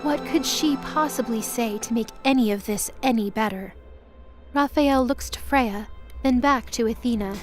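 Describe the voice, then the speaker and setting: A woman narrates scripted dramatic fiction. Her voice sits a little high, serving as storyteller for an audio drama. Music is clearly present behind her. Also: moderate pace.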